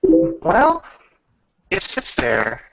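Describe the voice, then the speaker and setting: A man improvising dramatic, descriptive narration as game master of a tabletop roleplaying game, pausing between phrases. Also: fairly high pitch, wildly swooping pitch, vocal fry, a bit bright, no room sound, lo-fi sound.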